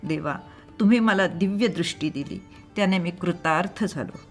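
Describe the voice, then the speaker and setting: A woman voicing a mighty male demon who has turned kindly and devout, speaking gently and earnestly in an audio story. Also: on the low side, normal speed, faint music behind, lightly acted. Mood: humbled, devout.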